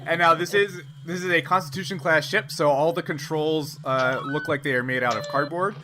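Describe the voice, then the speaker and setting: A man's voice: a little high, brisk. A man narrating and refereeing a tabletop role-playing game as game master. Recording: noisy group recording with room ambience.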